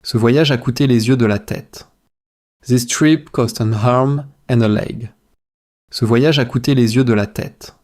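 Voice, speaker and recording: A man reading language drills in a measured, repetitive way, phrase by phrase, as a teacher for shadowing practice. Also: medium pitch, a little mellow.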